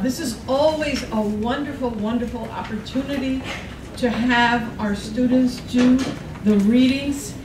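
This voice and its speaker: A middle-aged woman, a college provost, giving an informal welcome speech. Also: a little high. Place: college classroom at a podium. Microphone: distant camera. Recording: echoey room, distant mic, clear noise.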